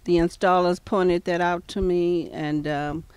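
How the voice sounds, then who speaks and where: An older woman speaks softly and politely, often pausing with "uh" and "um" as she gathers her words. She is recorded outdoors on a handheld mic held toward her, and the sound is dry. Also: lowish voice, steady tone, clean tone, no echo. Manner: soft-spoken, polite, hesitant with many fillers. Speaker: customer. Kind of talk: casual testimonial answers. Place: outdoors in front of a house. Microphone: handheld mic held by the interviewer.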